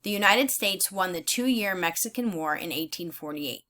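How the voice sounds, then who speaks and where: A woman teacher reading aloud in a deliberate, instructional way, pausing to explain her thinking as she goes. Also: on the low side, lively intonation, bright, forceful tone. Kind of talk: read-aloud lesson with think-aloud comments.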